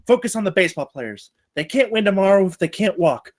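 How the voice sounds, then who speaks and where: A man at a live table read playing a defiant underdog campus security guard, with slow, dramatic delivery. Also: sits high, big pitch swings. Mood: commanding.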